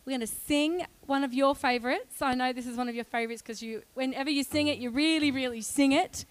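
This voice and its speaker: A woman's voice: sits high, fairly quick. A woman introducing congregational singing as worship leader, breezy and encouraging, joking about her own voice. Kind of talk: casual lead-in to worship.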